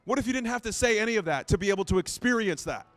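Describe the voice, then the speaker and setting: Pastor, late 30s, high clear tenor, dry close mic, chatty and self-deprecating. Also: male voice, animated, very fast, fairly bright tone, no room sound, faint music behind. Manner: conversational, self-deprecating, repeats phrases. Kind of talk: sermon.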